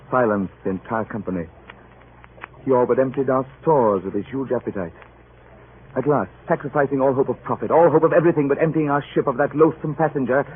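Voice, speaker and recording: An actor playing a beleaguered, formal ship's captain in 1940s radio drama, speaking earnestly and deliberately. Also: male voice, medium pitch, close to an everyday voice. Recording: old narrowband radio recording with clear noise.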